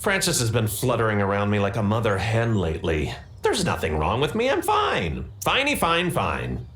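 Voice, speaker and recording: A stubborn, dismissive man from a cozy video game. His voice sits fairly low and leaps widely in pitch. The recording is noisy and a little roomy. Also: wildly swooping pitch, moderate pace. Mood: defensive. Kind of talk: video game character acting.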